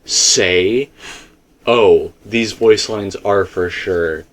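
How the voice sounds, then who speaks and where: A man's voice: on the low side, drawn-out words. A man hosting a casual theory discussion, talking in a rambling, emphatic way. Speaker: host.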